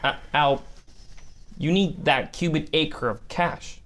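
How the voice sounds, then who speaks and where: A man's voice: fairly high pitch, slow pace, a bit bright. A man playing a wry, blunt gangster, the boss's brother, at a table reading of a television script. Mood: uneasy, blunt.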